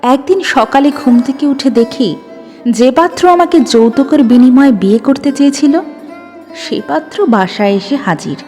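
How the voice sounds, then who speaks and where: High-voiced woman narrating in heavy reverb. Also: expressive, normal speed, mellow. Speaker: storyteller. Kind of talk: dramatic first-person audio story narration. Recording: long reverb with music behind. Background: background music.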